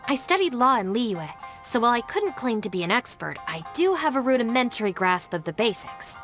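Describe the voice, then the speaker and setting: A woman playing a composed, modest young professional in a video game, polite, measured and formal. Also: fairly high pitch, sing-song. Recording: music behind the voice.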